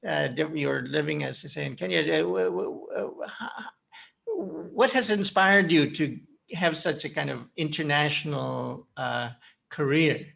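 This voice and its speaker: A man hosting a conversational interview, halting and repeating words as he forms his questions. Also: fairly high pitch, vocal fry, fairly bright tone. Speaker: interviewer, podcast host.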